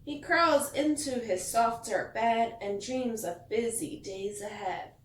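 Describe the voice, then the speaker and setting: A woman reading a bedtime story aloud to children like a teacher, in an expressive sing-song way that stresses the rhymes. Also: fairly high pitch, slow, slight background noise. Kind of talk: bedtime story read-aloud with asides to young listeners. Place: echoey indoor room. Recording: echoey room, distant mic.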